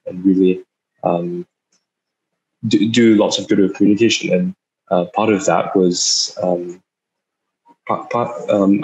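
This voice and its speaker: A young man in his twenties from Singapore, a startup founder giving an online talk, speaking haltingly with many fillers and repeated words. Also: sits low, a little mellow, low-quality recording. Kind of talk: online talk over a video call. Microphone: video call.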